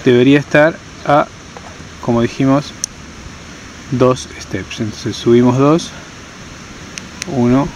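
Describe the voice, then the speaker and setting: A man's voice: mid-range voice, fairly even intonation, slow pace, long pauses, slight background noise, low-quality recording. A man giving a hands-on tutorial outdoors, explaining step by step and repeating key terms. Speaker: tutorial presenter. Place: outdoors on stony ground.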